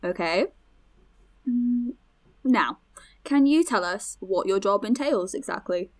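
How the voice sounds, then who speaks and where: A woman's voice: mid-range voice, lively intonation, takes it slowly. A woman playing an eager, friendly young podcast host in an audio drama, chatty, encouraging and inquisitive. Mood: curious.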